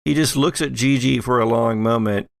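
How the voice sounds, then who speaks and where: A man running a tabletop horror game as game master, narrating in a deliberate way with pauses. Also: medium pitch, creaky, dry recording, studio quality. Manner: deliberate, pausing. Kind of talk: narrating a tabletop audio drama.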